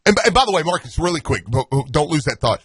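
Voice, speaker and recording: A man hosting a casual sports talk show, excitable and prone to stammering restarts. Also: a little high, quick pace, husky, no echo, studio quality.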